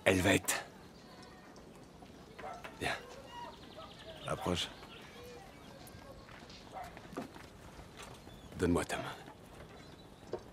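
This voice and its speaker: An actor playing a battle-scarred, defiant young Gaulish warrior and son, blunt and intense in an animated historical drama. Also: male voice, low voice, very slow. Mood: guarded, intimate. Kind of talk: animated historical docudrama acting. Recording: noisy ambience behind.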